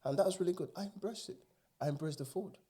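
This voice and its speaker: A man's voice: high voice, fast talker, dark tone, slightly rough. A man with a Nigerian accent, whose first language is Igbo, talking earnestly and with animation as a guest in a casual podcast chat.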